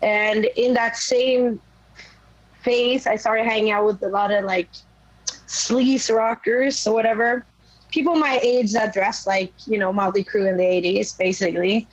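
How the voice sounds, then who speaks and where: Young swedish woman rock singer, raspy voice, rambly casual chat with lots of likes. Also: medium pitch, fairly even intonation, measured pace, no echo, rough recording. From Sweden. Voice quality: naturally raspy voice. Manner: rambling, lots of "like" and "you know". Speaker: rock vocalist guest.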